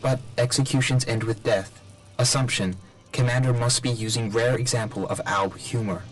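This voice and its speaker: A man's voice: medium pitch, normal speed, faint music behind, close to an everyday voice, rough recording. Man voicing a clipped, emotionless military robot. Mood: impassive, reporting. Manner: clipped, monotone, literal report delivery. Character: emotionless male military robot drone, literal and obedient. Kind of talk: video game acting.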